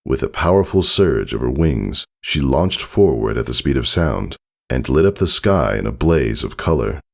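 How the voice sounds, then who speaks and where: A man narrating a scripted story dramatically, in a solemn and measured manner. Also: very deep voice, very animated, vocal fry. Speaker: fan fiction narrator. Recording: soft music behind the voice.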